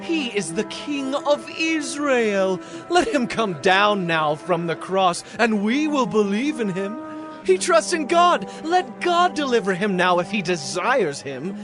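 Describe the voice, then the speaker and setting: Scornful mocking priest, really high voice, slow drawn-out sneer, audio bible drama. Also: male voice, big pitch swings, heavily acted. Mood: gleeful scorn. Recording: music behind the voice.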